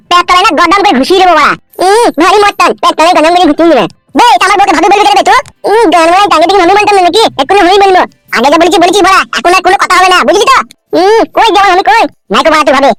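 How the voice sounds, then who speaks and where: A woman voicing an excitable, bickering villager in a comedy cartoon, whiny, with a pitched-up, sped-up voice. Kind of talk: exaggerated cartoon comedy dialogue.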